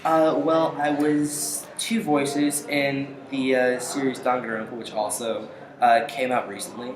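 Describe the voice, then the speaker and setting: A young man giving casual interview answers in a hesitant, halting way, pausing often. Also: medium pitch, level tone, crowd noise. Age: young adult. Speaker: interview guest, aspiring voice actor. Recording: echoey room with faint noise.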